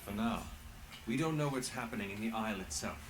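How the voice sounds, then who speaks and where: A man's voice: fairly low pitch, a little fast, noisy background, natural voice. An actor playing a grave, cautious male adventurer in a dramatic fantasy video game. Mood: grave, wary.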